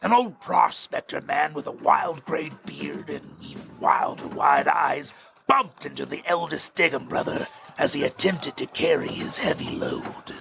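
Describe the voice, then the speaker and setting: A man playing a sly, folksy Old West storyteller with a gravelly voice and American accent, narrating a western audio drama theatrically. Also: on the high side, animated, measured pace, background noise, full character voice. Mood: ominous storytelling. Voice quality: gravelly, creaky. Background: music.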